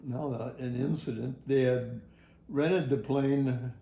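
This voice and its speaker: An older man tells a personal story from his working life in a halting, rambling, folksy way. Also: mid-range voice, steady tone, vocal fry, mellow, reverberant. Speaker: retired air traffic facility worker. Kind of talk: personal oral history storytelling.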